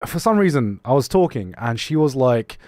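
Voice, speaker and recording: A man's voice: medium pitch, no echo, clean studio sound. A young man with a mixed, slightly British-tinged accent, chatting casually on a livestream and reading viewer messages, laughing often and restarting his sentences. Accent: mixed, slightly British-tinged English. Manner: animated, laughing often, many quick restarts. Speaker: streamer.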